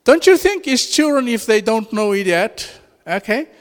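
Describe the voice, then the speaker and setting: A man with a Fijian accent, a native Fijian speaker, preaching an informal sermon in an animated way and laughing often. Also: extremely high pitch, moderate pace. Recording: reverberant hall.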